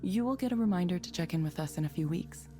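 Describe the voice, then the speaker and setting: A woman voicing a calm, courteous AI counselling program in a visual novel, in a measured, polite, scripted manner. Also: on the low side, music in the background, natural voice.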